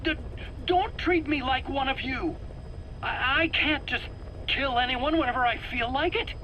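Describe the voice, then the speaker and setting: A man's voice: very high voice, unhurried, bright, forceful tone, heavily acted, low-quality recording. An actor playing a nervous, defensive male scientist under interrogation in a game cutscene, stammering and pleading in a whiny manner. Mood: frightened, protesting. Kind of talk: video game cutscene acting. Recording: faint background noise.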